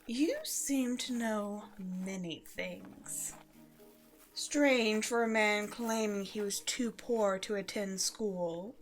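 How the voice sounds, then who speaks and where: A woman acting a sly, smooth-talking villainous younger sister in a fantasy audio roleplay. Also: medium pitch, slow, soft background music, lightly acted. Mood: suspicious.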